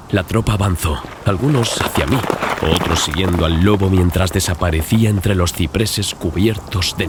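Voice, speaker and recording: A man narrating a dramatized horror audiobook in a scripted, dramatic storytelling style. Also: deep voice. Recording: clear background ambience and noise. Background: sound-design ambience.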